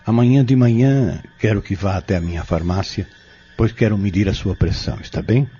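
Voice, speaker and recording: Audio drama actor as male pharmacist-healer: Brazilian accent, very low voice, measured and solemn, muffled recording. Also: warm tone, husky, soft background music. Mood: calm, reassuring. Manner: formal, measured, preacherly phrasing.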